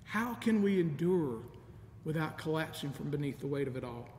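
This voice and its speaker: A middle-aged man preaching a sermon as a deacon, measured and earnest, with soft laughs. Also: lively intonation. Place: large empty church sanctuary. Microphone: stand mic in front of lectern, camera at a distance. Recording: echoey church with long reverb, faint rumble.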